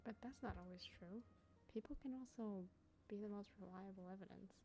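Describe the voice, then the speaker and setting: A woman's voice: mid-range voice, brisk. A woman streaming a game with casual, conversational playthrough commentary. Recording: loud game music behind.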